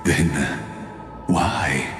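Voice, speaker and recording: An actor playing a smug, ancient imprisoned schemer in a video game, with a slow, mocking, theatrical delivery. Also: male voice, low voice, lively intonation, full character voice. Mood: taunting. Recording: echoey hall reverb under music.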